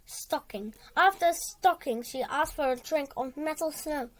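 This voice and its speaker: A child reading a storybook aloud with dramatic emphasis, halting and repeating words along the way. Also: high-pitched.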